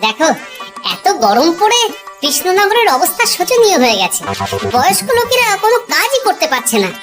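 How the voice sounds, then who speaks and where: A man voicing a clever, teasing court jester and henpecked husband in a cartoon, glib and coaxing, with a pitched-up voice. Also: very animated, a little fast. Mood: concerned, placating. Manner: glib, mock-polite, coaxing. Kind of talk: animated cartoon dialogue. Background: children's cartoon music.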